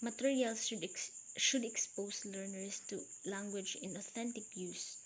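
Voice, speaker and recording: A woman teacher with a Filipino accent and Cebuano as her first language, reading points from slides in a recorded video lecture, slow and deliberate. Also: mid-range voice, steady tone, a little hiss or hum. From Philippines.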